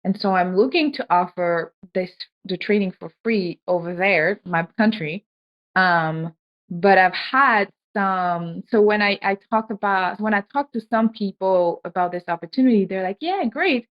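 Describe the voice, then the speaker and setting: Woman from Senegal, casual host, relaxed slangy talk, dull lo-fi sound. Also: mid-range voice, measured pace. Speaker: podcast host, programmatic trainer. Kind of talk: conversational interview.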